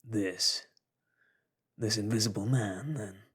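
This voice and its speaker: Man doing a blunt bearded gunman, low, slow, gravelly. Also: fairly bright tone, exaggerated voice. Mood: resolute. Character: calm, armed bearded man, grimly resolute.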